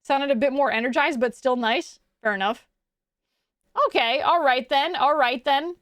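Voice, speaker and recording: Young adult woman, high voice, dry close mic, casual streamer chat, rambling, restarts, frequent laughs. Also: moderate pace, fairly bright tone, dry recording.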